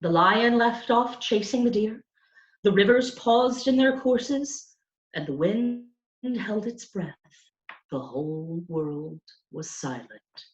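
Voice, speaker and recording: A woman's voice: mid-range voice, animated, slow pace, voice pushed up. A woman voicing a courtly older male music teacher for a children's storybook, grandiloquent and dramatic. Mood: reverent storytelling. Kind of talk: children's storybook character reading. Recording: roomy, slightly dull sound.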